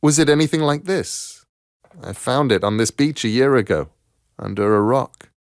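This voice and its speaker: A curious, quietly playful ageless stranger from a storybook, voiced by a man, calling out in a lifted, creaky voice with a dry, close sound. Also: mid-range voice, expressive, moderate pace, dry recording, pitched above the performer's own voice, lightly acted. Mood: probing. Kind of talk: storybook character voice.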